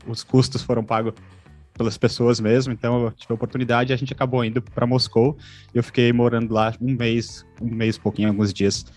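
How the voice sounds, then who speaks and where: A man's voice: mid-range voice, darkish tone. A man, telling his travel story casually with lots of "né" and "pô". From Santa Catarina, Brazil. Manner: loose, uses fillers like "pô" and "né". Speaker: interview guest, traveler.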